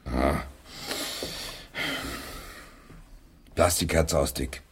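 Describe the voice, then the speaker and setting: Weary middle-aged playwright, low and husky, sardonic grumbler, radio drama. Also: male voice, full character voice. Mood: bitter, weary. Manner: slow, hesitant, sardonic grumbling. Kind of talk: German radio play acting.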